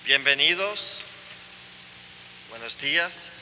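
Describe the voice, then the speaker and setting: A pastor preaches slowly in a bright, fairly high voice. His earnest delivery builds to fervent emphasis. The sound rings with the long reverb of a church. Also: lively intonation, a little hiss or hum. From Cuba. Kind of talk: sermon. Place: church sanctuary.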